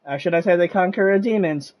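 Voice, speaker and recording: A woman's voice: lowish voice, quick pace, muffled recording, a little hiss or hum. A woman streamer giving casual let's-play commentary on a game. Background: game music.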